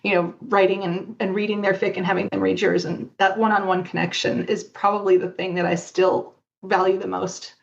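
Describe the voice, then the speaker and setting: Casting: middle-aged woman, mid-pitched, candid and reflective conversational guest, slightly lo-fi recording. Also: flat delivery, brisk, gravelly, few pauses. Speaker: interview guest, fan fiction author. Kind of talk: casual podcast conversation.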